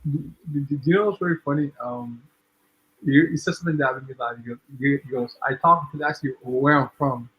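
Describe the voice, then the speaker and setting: A man's voice: mid-range voice, fairly quick, husky, lo-fi sound. A man from Ghana co-hosting a podcast, telling a story in a chatty way with constant checks on the listener. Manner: chatty, repeats "you know what I'm saying". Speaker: podcast co-host. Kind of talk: casual storytelling.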